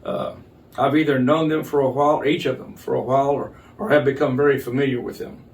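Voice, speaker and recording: A man's voice: mid-range voice, flat delivery, fairly quick, recorded from a distance. An older man, a county official, giving answers in an interview. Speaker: county judge being interviewed.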